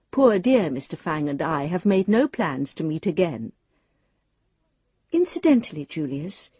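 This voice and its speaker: A woman's voice: mid-range voice, dark tone, slightly rough, dry recording, muffled recording. An actress playing a prim, wryly amused woman reading her letter in a radio drama, formal, arch and politely understated. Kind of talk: radio drama monologue, letter read aloud.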